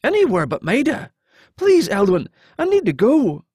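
A man's voice: sits high, big pitch swings, moderate pace, slightly rough, no echo, studio quality, pitched above the performer's own voice, heavily acted. A man voicing a frightened, remorseful male deserter in an audiobook, earnest and pleading. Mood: desperate.

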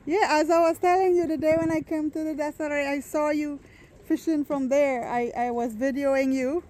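A woman's voice: high-pitched, steady tone, normal speed, dark tone, rough recording. A woman chatting casually and eagerly as she films a travel vlog, often breaking into exclamations. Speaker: travel vlogger. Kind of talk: casual chat while filming. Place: outdoors at a seaside beach and rocks. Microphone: handheld camera held by the speaker. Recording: outdoor noise, lapping water.

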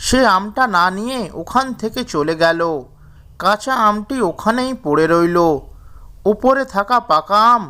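A woman's voice: medium pitch, animated, a little slow, bright, forceful tone, clear, resonant tone. A woman narrator reading a scripted moral story for children.

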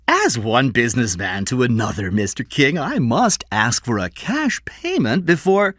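A man voicing a small, sly con man in an audiobook story, oily and self-righteous, with drawn-out, insinuating politeness. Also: mid-range voice, big pitch swings, a bit bright, no echo, studio quality, voice pushed up. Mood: sly, taunting.

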